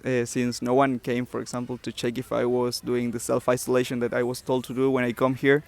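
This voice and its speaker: A young man from Argentina with an Argentine accent, answering street interview questions softly and hesitantly. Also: medium pitch, completely flat delivery, brisk. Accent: Argentine Spanish. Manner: soft, laughs easily, hesitant.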